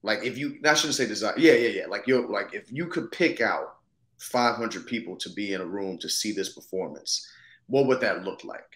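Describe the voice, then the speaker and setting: Actor, male, thirties, American. Lowish voice, informal, searching delivery, recorded in a reverberant room. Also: moderate pace, a bit bright. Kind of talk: casual conversation. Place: indoors, on a video call. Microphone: video call. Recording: echoey room on video call.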